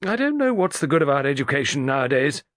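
A man's voice: on the high side, big pitch swings, fast talker, fairly bright tone, no room sound, clean studio sound, pitched above the performer's own voice. A man voicing a small, pugnacious, bad-tempered middle-aged dentist who grumbles and complains. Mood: irritable. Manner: peevish, complaining.

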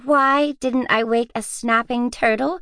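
A bustling, chattering young girl, as a woman narrator puts her on. Her high, raised voice darts up and down with excitement. The recording is close and dry. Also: no room sound, full character voice. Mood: excited, hurried. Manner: excitable, hurried. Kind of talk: audiobook character reading.

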